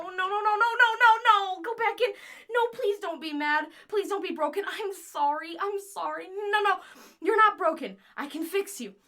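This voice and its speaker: A woman's voice: very high voice, sharp tone, full character voice. A woman playing an obsessive, lonely scientist and tinkerer in an audio drama, chatty and theatrical with a volatile intensity. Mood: frantic, pleading.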